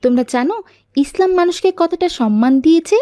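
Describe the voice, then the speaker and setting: High-voiced earnest little girl played by a woman. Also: expressive, brisk, warm tone, dry recording, clean studio sound. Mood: firm, admonishing. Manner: polite, didactic. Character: pious, earnest little girl who corrects others politely. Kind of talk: scripted moral cartoon dialogue.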